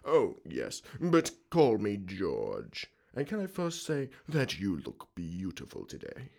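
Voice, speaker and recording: An Australian actor playing a creepy, formal school headmaster in a radio drama, ingratiating and deliberate. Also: male voice, lowish voice, wildly swooping pitch, creaky, darkish tone, exaggerated voice. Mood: ingratiating, secretive. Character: creepy middle-aged male school headmaster, smooth-talking, secretive.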